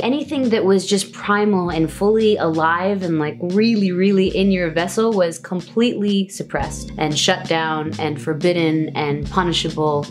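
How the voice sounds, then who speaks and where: A woman talks straight to camera in her video diary, frank and playful. Her voice sits a little low for a woman. Faint music plays underneath. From Saudi Arabia.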